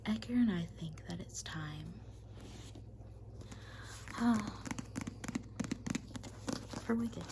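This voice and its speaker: Girl doing a whispery spider demon woman, deadpan and cruel, asmr roleplay, kinda low voice, hissy noisy mic. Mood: rambling, indifferent. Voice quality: breathy whisper. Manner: rambling, deadpan, casually cruel. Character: menacing female spider demon toying with her human prey. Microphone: close ASMR microphone. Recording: hissy close recording with clicks.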